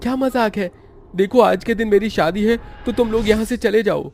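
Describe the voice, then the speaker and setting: A man's voice: high voice, normal speed, vocal fry, a little mellow, slight background noise, pitched above the performer's own voice. A man voicing an alarmed, indignant bridegroom in a cartoon horror story. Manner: agitated, protesting. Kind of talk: Hindi animated horror story dialogue. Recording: music bed under the voice.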